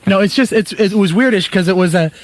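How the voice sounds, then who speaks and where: Male radio director, rapid casual talk in a slightly high register. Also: no echo. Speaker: radio play director and crew member. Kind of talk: casual chat.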